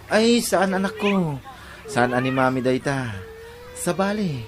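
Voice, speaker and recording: A man playing a gentle, apologetic father coaxing his child in an Ilocano audio drama. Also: on the high side, expressive, a little slow, crowd noise, background noise.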